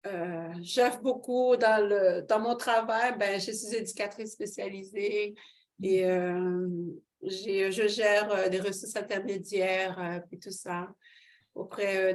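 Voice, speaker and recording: A woman pastor giving her testimony in an interview, hesitant with many fillers and growing fervent. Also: medium pitch.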